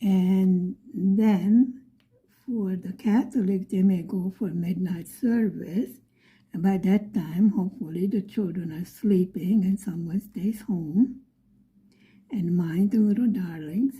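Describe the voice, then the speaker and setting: A middle-aged woman from Buffalo, New York, telling a story in a soft, often whispery voice. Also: medium pitch, takes it slowly, rough recording. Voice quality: frequently whispery, breathy delivery. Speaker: vlogger.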